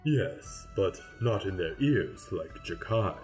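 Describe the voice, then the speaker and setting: A man's voice: deep voice, lively intonation, slow, a little mellow, soft background music. A man voicing a patient, fussy male grim reaper in a fan radio play, formal and explanatory. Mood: explaining.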